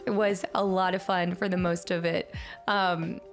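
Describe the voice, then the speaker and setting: A woman streamer chatting casually with her viewers and thanking them, upbeat, her voice a little raspy today. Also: fairly low pitch, level tone, unhurried, soft background music. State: slightly raspy voice. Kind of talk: casual stream chat reading chat. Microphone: streaming mic.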